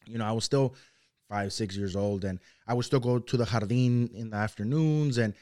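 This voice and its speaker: A man's voice: lowish voice, normal speed, a little mellow. A young man from Mexico whose first language is Spanish, a guest talking casually on a podcast with lots of "you know" and "like". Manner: loose, rambling, full of "you know" and "like". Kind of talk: casual conversational interview.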